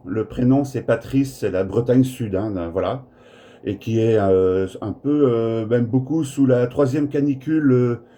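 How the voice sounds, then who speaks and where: A man's voice: mid-range voice, mellow. A man chatting casually as an amateur radio operator, hesitating and repeating words. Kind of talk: casual radio contact chat. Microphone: smartphone recording in the room.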